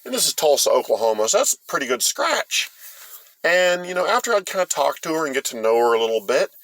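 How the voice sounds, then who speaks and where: A middle-aged man with an American accent, telling a story casually in a halting, rambling, folksy way. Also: fairly high pitch, big pitch swings, a bit bright, poor audio. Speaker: host of a storytime vlog.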